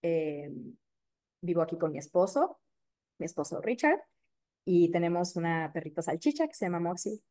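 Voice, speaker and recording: A woman in her thirties with a Mexico City accent talks through her career in an easy, chatty way. Her voice sits low and sounds mellow and dark. She keeps a relaxed flow and drops frequent fillers. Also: normal speed, pauses often. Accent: Mexico City Spanish. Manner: warm, chatty, many fillers like "este" and "eh". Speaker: periodontist guest. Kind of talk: casual interview chat.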